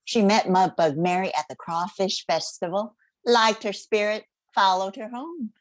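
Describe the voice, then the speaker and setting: A woman's voice: mid-range voice, measured pace, dry recording, lightly acted. An older woman playing a sociable retired librarian and dance champion in an amateur radio play. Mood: friendly introduction. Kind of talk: amateur radio drama reading.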